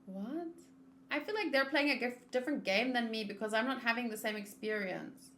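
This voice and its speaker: A woman's voice: fairly high pitch, normal speed, pushed and bright, slight background noise. A woman chatting informally on a gaming livestream, reacting aloud as she talks. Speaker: streamer. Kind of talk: casual livestream chat while gaming.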